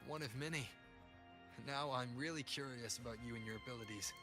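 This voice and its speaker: A man plays a resolute, curious young hero in a fantasy game. He has a medium-pitched voice and speaks in a measured, earnest way, with music clearly behind him. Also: natural voice. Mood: calm, curious. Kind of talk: video game voice acting.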